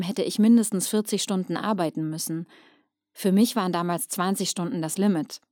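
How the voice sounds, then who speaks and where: Mellow-voiced woman, dry scripted narration. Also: mid-range voice, no echo. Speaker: voice-over narrator. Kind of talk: scripted first-person testimonial narration.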